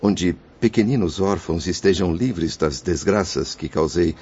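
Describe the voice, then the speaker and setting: A man's voice: deep voice, unhurried, a little mellow, slightly rough. An actor playing the guilt-ridden, grateful spirit of a father in a radio soap, speaking in a solemn, declamatory way. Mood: hopeful. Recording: dull lo-fi radio drama recording.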